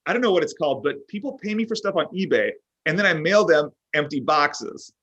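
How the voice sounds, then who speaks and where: A man's voice: fairly high pitch, animated, a little fast, fairly bright tone, breathy edge. A man playing an oblivious, self-absorbed boss, needy and boastful, in a comedy table read, blurting and justifying himself. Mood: boastful, goofy. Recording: dull lo-fi online call.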